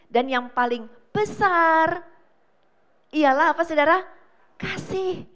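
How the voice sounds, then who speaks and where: Woman pastor, high-pitched, fervent sermon delivery, addresses the congregation directly throughout. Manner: emphatic preaching, often addressing listeners as brothers and sisters; swings between loud declarations and low, soft asides. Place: church service.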